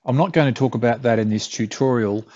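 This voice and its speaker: A man's voice: mid-range voice, quick pace, darkish tone, no room sound. A middle-aged man with an Australian accent talks through a tech tutorial in a loose, joking, self-mocking way. The sound is dry and close, with little room around it and a slightly dull, muffled top end. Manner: chatty, self-deprecating, blunt with mild swearing. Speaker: tutorial host. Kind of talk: casual screen-recorded tech tutorial.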